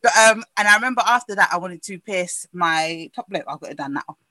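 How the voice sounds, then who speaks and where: Lively, bright young woman. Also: on the low side, a little fast, no room sound, rough recording. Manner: animated storyteller, bursts of loud laughter. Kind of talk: casual chat.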